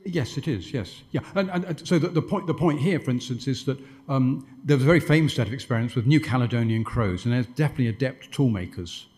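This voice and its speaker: A man's voice: mid-range voice, fast talker, hardly any pauses, darkish tone. A man answering questions after his lecture, discursive, with stumbling restarts and dry wit. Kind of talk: conference Q&A answers.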